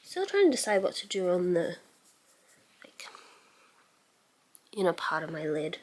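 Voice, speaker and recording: A woman's voice: lowish voice, big pitch swings, unhurried, a little mellow. A woman giving a casual makeup tutorial as a beauty vlogger, musing aloud as she works and letting sentences trail off.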